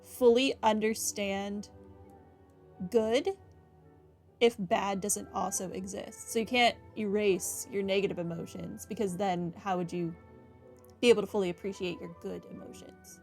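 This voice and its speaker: Girl doing a let's play, chatty and opinionated, medium pitch, bit of game music under her. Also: fairly even intonation, unhurried, a little mellow. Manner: musing, conversational, opinionated. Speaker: gaming host, player. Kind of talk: casual playthrough commentary.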